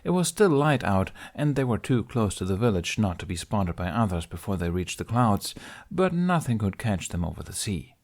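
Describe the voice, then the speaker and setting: Male audiobook narrator, lowish pitch, scripted storytelling read. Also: big pitch swings, moderate pace, a little mellow.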